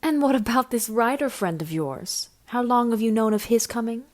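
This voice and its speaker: A woman playing a devoted, gently teasing young noblewoman, formal and romantic, in an amateur period audio drama. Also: fairly high pitch, lively intonation, moderate pace, lightly acted. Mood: curious.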